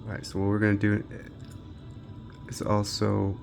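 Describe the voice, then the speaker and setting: A man's voice: on the low side, completely flat delivery, moderate pace, gravelly, a little mellow, faint music behind. A man giving casual, off-the-cuff commentary while testing games, muttering and thinking aloud, as a handheld gaming reviewer.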